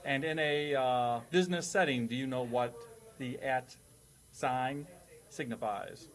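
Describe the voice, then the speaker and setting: A man's voice: mid-range voice, unhurried. A man hosting a casual comedic quiz as a teacher, explaining things in a nerdy, wordy, playful way. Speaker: quizmaster host playing a teacher.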